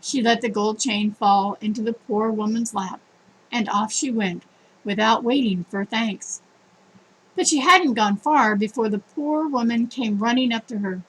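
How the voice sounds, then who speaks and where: High-voiced woman reading a story. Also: a little slow, fairly bright tone, breathy edge, poor audio. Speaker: storybook reader. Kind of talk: scripted story narration.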